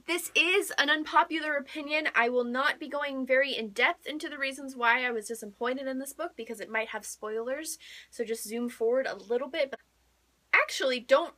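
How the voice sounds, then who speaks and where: A woman's voice: sits high, a little fast, bright, forceful tone. A young woman chatting casually as a book vlogger, gushing and emphatic, often repeating words for emphasis. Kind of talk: casual chat to camera.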